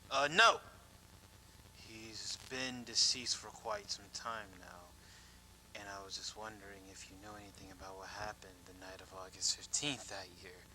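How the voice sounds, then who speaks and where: A man playing a measured, polite police detective questioning witnesses in an amateur audio drama. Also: on the low side, fairly even intonation, bright, forceful tone, slight background noise, low-quality recording. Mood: polite, probing.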